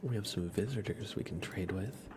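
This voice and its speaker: A soft-spoken man hosting a relaxed ASMR gaming livestream, often dropping into a whisper. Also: lowish voice, normal speed, background noise. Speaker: livestream host.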